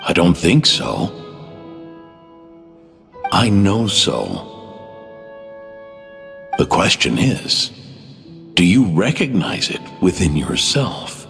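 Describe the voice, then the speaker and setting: A man playing a calm, wise maker in a video game, gently explaining things in a measured, reassuring way. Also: low voice, vocal fry, exaggerated voice. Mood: gentle, reflective. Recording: long reverb, music behind.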